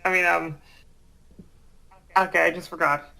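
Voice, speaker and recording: A man chatting casually as a guest, hesitant and halting, often repeating his words. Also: a little high, flat delivery, creaky, pushed and bright, poor audio. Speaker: guest, amateur voice actor. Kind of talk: casual chat.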